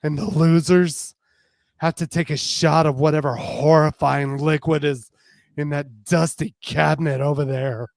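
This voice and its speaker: Man acting a flirtatious woman, romance audio drama, sultry, playful, raised pitch, gravelly creak, bold temper. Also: level tone, unhurried, dry recording, clean studio sound. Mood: excited, daring.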